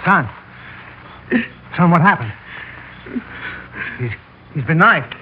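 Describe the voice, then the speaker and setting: An actor playing a concerned passer-by in a 1960s radio drama. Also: male voice, fairly high pitch, animated, takes it slowly. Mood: grave concern. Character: concerned passer-by who finds a victim. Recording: muffled, faintly noisy old radio recording.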